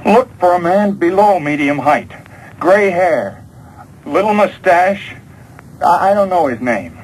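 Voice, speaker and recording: An American actor playing a nervous, weaselly small-time stooge who stammers and pleads in an old-time radio drama. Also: male voice, sits high, expressive, a little slow. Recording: noisy old radio show taped off air.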